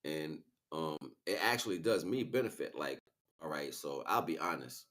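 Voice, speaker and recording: A man's voice: extremely low pitch, lively intonation, normal speed, fairly bright tone. A man livestreaming a casual, rambling spiritual monologue in street slang, often checking in with rhetorical questions. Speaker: livestream host.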